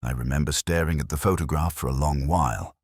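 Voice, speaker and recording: A man narrating a first-person detective story in a measured, literary, brooding manner. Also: very deep voice, breathy edge, dry recording, studio quality.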